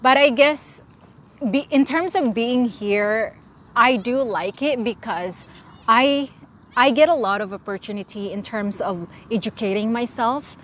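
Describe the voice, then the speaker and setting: A woman's voice: a little high, a little slow, a little hiss or hum. Earnest woman with a Filipino accent. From Philippines. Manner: earnest, frank, often restarts words. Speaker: interviewee. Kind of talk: casual interview.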